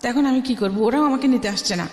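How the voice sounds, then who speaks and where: A woman's voice: a little high, quick pace, darkish tone. A middle-aged woman with a Bangladeshi accent tells her life story as a radio interview guest, emphatically, acting out remembered dialogue. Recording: echoey room with long reverb.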